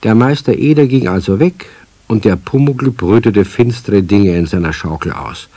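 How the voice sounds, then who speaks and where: A man as the narrator of a children's radio play, telling the story in a scripted style with a husky, dark voice. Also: lowish voice, normal speed, dry recording, natural voice. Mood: wry storytelling.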